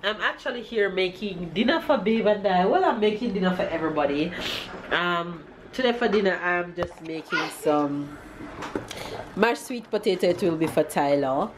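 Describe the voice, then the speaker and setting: A woman's voice: fairly low pitch, animated, normal speed, noisy background. Chatty woman vlogger with a stuffy nose. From Jamaica. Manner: chatty, upbeat, lots of fillers. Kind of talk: casual family vlog. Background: background music.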